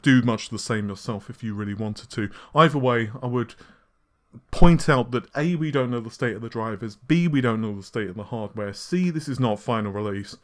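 A man hosting a casual tech news show, his voice slightly scratchy and worn, talking in a chatty way with frequent fillers and quick asides. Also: medium pitch. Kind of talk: casual solo tech commentary. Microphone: laptop recording setup.

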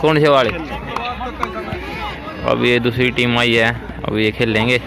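A young man vlogging on a phone at a sports ground, chatty and excited as he points things out. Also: mid-range voice, measured pace. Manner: excited, chatty tour-guide narration. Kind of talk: casual vlog commentary. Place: open sports ground outdoors at dusk. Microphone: phone camera held at arm's length. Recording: outdoor crowd and street noise. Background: players shouting, traffic.

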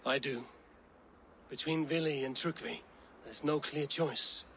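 A man playing a steady, earnest Viking warrior in a video game, speaking in a measured way. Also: a little high, a little hiss or hum. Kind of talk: dramatic video game acting.

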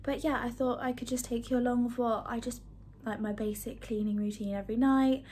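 A woman in her twenties chatting casually through her evening routine at home, rambling with lots of ums and quick asides. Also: fairly high pitch, steady tone, mellow, slight background noise. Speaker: vlogger. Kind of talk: casual chat. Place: small flat, indoors, lounge, kitchen and bathroom. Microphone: phone held at arm's length.

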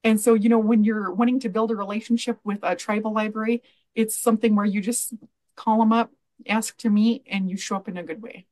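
A woman's voice: medium pitch, fairly even intonation, darkish tone. Earnest middle-aged woman on a video call. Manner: earnest, measured, with frequent "you know". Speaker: guest, library association president. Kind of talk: interview answers over video call. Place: home room with bookshelves. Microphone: video call webcam.

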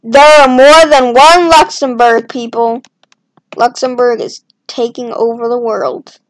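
A woman's voice: fairly high pitch, steady tone, a little slow, low-quality recording. A woman talking to herself in casual, rambling commentary as she draws a map on screen, with jokey asides. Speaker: map-making video creator. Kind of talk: casual commentary while drawing.

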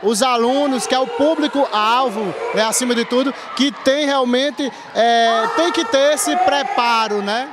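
A man from reporting on site for local TV, speaking loudly into a handheld mic and stretching out key words, in a large school gym full of students. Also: very high-pitched, unhurried, hardly any pauses, a crowd in the background, noisy background. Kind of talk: on-site news interview.

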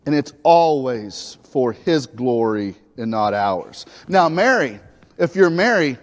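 Animated man preaching in a hall. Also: mid-range voice, slow. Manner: animated preaching, acts out biblical dialogue, moves about the stage. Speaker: pastor. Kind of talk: lively sermon. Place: church sanctuary. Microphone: lapel mic. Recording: reverberant church hall.

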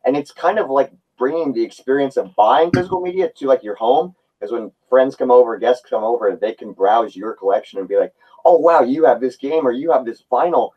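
A man's voice: medium pitch, brisk, breathy edge, lo-fi sound. A man in his twenties talking casually and nostalgically as a guest in a video-call discussion. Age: 20s. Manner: nostalgic, relaxed.

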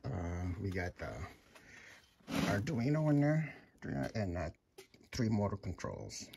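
A middle-aged man giving a casual walkthrough of his home-built project, halting with many fillers and restarts. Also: on the low side, sing-song, gravelly, dark tone, slight background noise. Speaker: DIY builder. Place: home room with sim cockpit, indoors.

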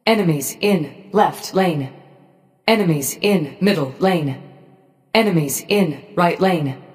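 A woman voicing a cold, menacing robotic gorgon in a video game, giving short commands in a measured, detached, machine-like way. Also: fairly low pitch, expressive, in a large hall. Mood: cold, commanding. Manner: slow, measured, emotionally detached machine-like delivery. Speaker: game character voice pack.

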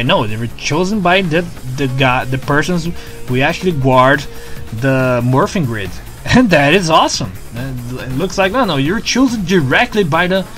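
A Brazilian man with a Brazilian Portuguese accent, reviewing a show in a casual, excitable way, stumbling over repeated words and tagging sentences with "né?". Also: medium pitch.

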